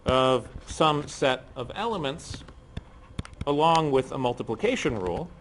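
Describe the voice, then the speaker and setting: A middle-aged man lecturing on physics to a class, speaking softly and hoarsely because he has a cold and is losing his voice, with frequent ums. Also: medium pitch, animated, a little slow, a little hiss or hum. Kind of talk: university lecture. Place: lecture room.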